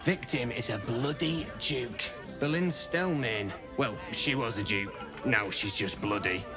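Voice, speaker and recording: An actor with a British accent playing a chatty, cheerfully morbid, opportunistic tavern keeper in a video game. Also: male voice, mid-range voice, measured pace, natural voice. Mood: wry, chatty. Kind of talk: video game character acting. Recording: music and pub crowd behind.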